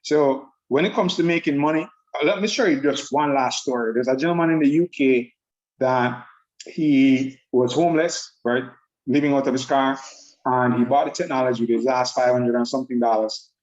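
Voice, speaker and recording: A man's voice: mid-range voice, a little mellow. Upbeat man on a lo-fi call. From Jamaica. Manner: upbeat, laughs often, rambling restarts. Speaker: trading presenter. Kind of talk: online group call presentation.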